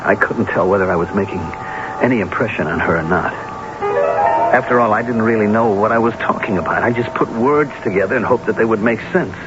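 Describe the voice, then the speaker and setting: An actor playing an earnest, nervous husband in a radio drama, speaking and narrating in a hesitant, halting way. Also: male voice, on the low side, natural voice. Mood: troubled, reflective. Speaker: actor and first-person narrator. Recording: muffled vintage radio broadcast, music behind.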